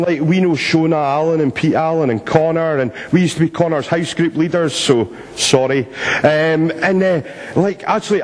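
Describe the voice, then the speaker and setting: Scottish guy cracking jokes at his own expense at a church do, plenty of ums, big echoey hall. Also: fairly high pitch. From Scotland. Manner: jokey, self-mocking, many filler ums. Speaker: speaker at a church youth event. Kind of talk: informal talk to a church audience. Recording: long reverb of a large hall.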